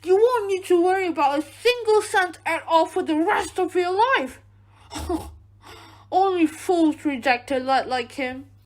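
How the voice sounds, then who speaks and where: Girl acting as bossy scolding mom, super high voice, radio play, pushing her kid around. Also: a little slow. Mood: insistent, gushing. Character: domineering scolding mother pushing an arranged marriage. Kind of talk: amateur radio drama acting.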